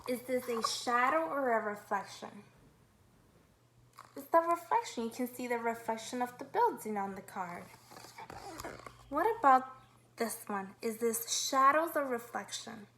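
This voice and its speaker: A woman's voice: on the high side, expressive, a little slow, pauses often, a bit bright, a little hiss or hum, rough recording. A woman kindergarten teacher leading a read-aloud lesson, upbeat and instructive, asking guiding questions.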